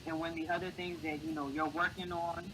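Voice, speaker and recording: A man's voice: flat delivery, a little fast. A man with a light, boyish voice, speaking earnestly as a guest in a casual interview and giving encouraging advice. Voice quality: high, boyish voice. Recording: clear background noise.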